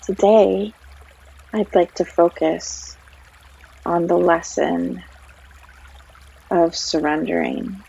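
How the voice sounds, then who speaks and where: A woman leading a guided meditation as a yoga guide, speaking gently with long pauses, in a breathy, creaky voice. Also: fairly low pitch, very animated. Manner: gentle, unhurried, long pauses between phrases. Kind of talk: guided meditation, soothing narration. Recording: slightly dull sound, trickling stream water behind. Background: flowing stream water.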